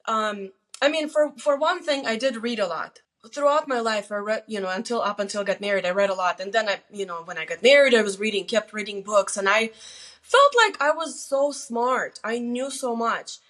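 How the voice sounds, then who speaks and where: A woman in her forties from Lithuania, a guest telling personal stories casually in an animated, self-deprecating way. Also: a little high, normal speed. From Lithuania, moved to the US as a teen. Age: 40s. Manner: animated, self-deprecating, quotes herself and others. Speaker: guest on her daughter's show.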